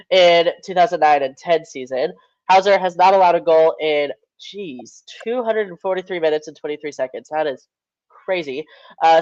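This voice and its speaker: A man's voice: on the high side, darkish tone, no room sound, muffled recording. A young man hosting a casual podcast, stuffed-up and nasal, rambling through updates and stats with plenty of fillers. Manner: rambling, self-correcting with fillers. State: congested, nasal. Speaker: podcast host.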